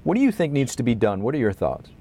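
Male news host, steady scripted intros and questions, mid pitch. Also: fast talker. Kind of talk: interview hosting.

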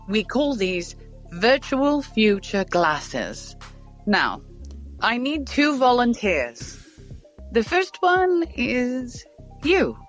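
An actress playing a poised, persuasive woman scientist, formal and polished, in an audio drama. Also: medium pitch. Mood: polished, upbeat.

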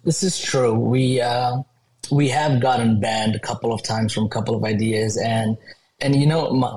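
Upbeat man, halting chatty guest. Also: medium pitch, a little mellow, poor audio. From Bangladesh. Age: 30s. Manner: many fillers and restarts, upbeat. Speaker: podcast guest, tech company founder. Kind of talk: casual interview chat.

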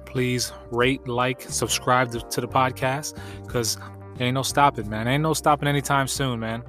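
A man's voice: mid-range voice, fairly bright tone. A congested man hosting a podcast in casual, slangy, conversational talk. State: congested from allergies. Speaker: podcast host.

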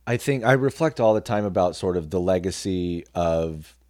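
Low-voiced man in a dry close recording. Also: animated, normal speed, a little mellow, no room sound. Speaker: guest, actor and comedian. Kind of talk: reflective interview conversation.

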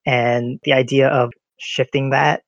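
A man from the United States, a podcast guest telling stories in a relaxed way, with lots of "like" fillers. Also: medium pitch, measured pace, dry recording. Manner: relaxed storyteller, many "like" fillers. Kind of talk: casual conversation. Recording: dry, dull lo-fi audio.

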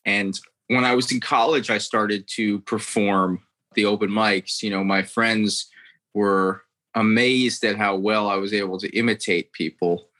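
A man's voice: on the low side, steady tone, a little slow, a bit bright. A man in his thirties from the United States, an impressionist telling his story in an earnest, rambling way. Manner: earnest, rambling, repeats words. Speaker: impressionist guest. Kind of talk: interview storytelling.

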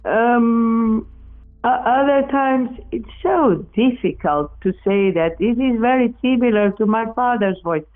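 A speaker from Portugal, answering interview questions in a halting way, often restarting and correcting words. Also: mid-range voice, lively intonation. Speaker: experimenter in spirit communication. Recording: tinny phone-like audio, faint music.

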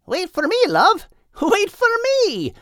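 Folksy, high-voiced male seagull. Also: huge pitch swings, takes it slowly, a bit bright, no room sound, studio quality, full character voice. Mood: urgent. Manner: folksy, affectionate, reassuring. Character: hearty, reassuring male seagull husband with folksy speech.

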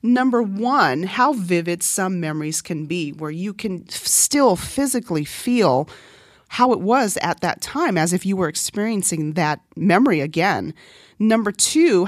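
A woman's voice: on the low side, expressive, a little slow, no room sound, studio quality. A middle-aged woman from Guam, whose first language is Korean, hosts a podcast and tells a personal story in a chatty way, laughing often. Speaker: podcast host.

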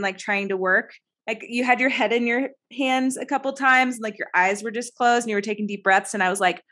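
A woman's voice: medium pitch, pushed and bright. A young woman co-hosting a podcast, chatting in an upbeat, encouraging way. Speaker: podcast co-host. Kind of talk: casual chat.

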